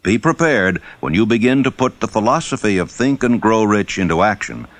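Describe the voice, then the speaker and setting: A man reading scripted audiobook narration in a measured, formal and emphatic way. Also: lowish voice, expressive.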